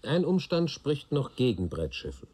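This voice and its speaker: Husky, matter-of-fact male police inspector. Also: medium pitch, huge pitch swings, normal speed, a little mellow. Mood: sceptical. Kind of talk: radio play acting.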